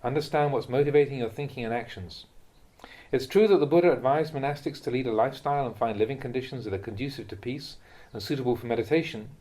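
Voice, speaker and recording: Man reading Buddhist teachings, measured delivery. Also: mid-range voice, mellow. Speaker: reader of Buddhist teachings, monk. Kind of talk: reading a translated dharma talk aloud.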